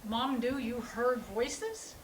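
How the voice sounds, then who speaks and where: A woman's voice: a little high, slow, a little hiss or hum. A woman in a staged play reading, playing a concerned, persistent sister who questions her family insistently and with exasperation.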